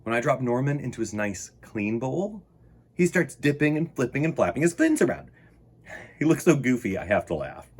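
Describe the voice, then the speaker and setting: A man hosting story time, reading a children's picture book aloud in an expressive, emphatic way and talking directly to young listeners. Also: mid-range voice, moderate pace, slightly rough.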